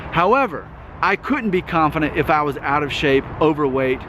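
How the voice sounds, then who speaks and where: A man's voice: fairly high pitch, lively intonation, normal speed. A man in his thirties talking to camera in an earnest vlog monologue. He repeats himself and keeps checking in with "okay?". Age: 30s. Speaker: YouTube host. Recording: noisy outdoor recording with traffic.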